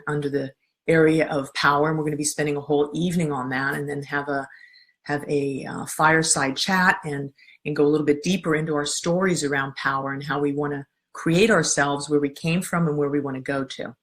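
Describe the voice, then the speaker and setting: A middle-aged woman speaks with a strong voice that sits a little low. She leads a live talk, calm and earnest, walking listeners through her steps one at a time. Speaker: coach and workshop leader. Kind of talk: live-streamed talk answering viewer comments.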